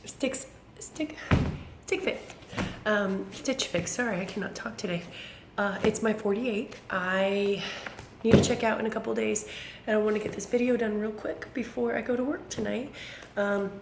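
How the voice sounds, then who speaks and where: Thirties woman vlogger, hoarse and raspy, loose chatty delivery full of fillers; roomy echo with steady noise behind. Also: mid-range voice. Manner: rambling, frequent ums and self-corrections. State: raspy from bronchitis. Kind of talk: casual unboxing chat. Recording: echoey room with background noise.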